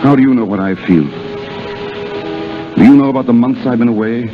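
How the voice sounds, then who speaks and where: An actor playing a boyish young Englishman in love, with halting declarations, in a 1930s radio drama. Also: mid-range voice, close to an everyday voice. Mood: anguished, tender. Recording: muffled 1930s radio broadcast with music.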